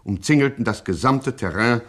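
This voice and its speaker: A man's voice: medium pitch, normal speed, natural voice. Formal male narrator for a gangster radio satire, mid-range voice, mock-epic solemnity.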